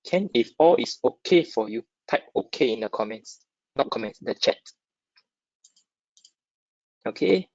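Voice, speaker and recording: A man's voice: mid-range voice, lively intonation, measured pace, creaky, pauses often, a little mellow, dry recording. A man from Singapore with a Singaporean accent presents an informal, interactive webinar, often checking in with short tag questions. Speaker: webinar speaker, stock dealer. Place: dry close online webinar. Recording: dull lo-fi online call audio.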